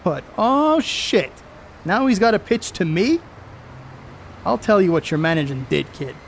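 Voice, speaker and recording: A man's voice: sits high, sing-song, measured pace, gravelly, slight background noise, exaggerated voice. A man on stage playing a brash, cocky 1930s baseball star who blusters in folksy, profane slang. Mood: scornful, blustering. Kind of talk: amateur stage play acting.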